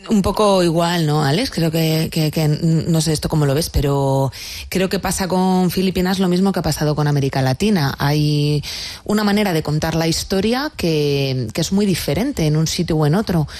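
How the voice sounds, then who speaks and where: A woman's voice: low voice, moderate pace, a little mellow. A woman co-hosting an interview, speaking earnestly as she frames the topic for the guest. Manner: earnest, framing questions. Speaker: co-host. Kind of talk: conversational interview talk.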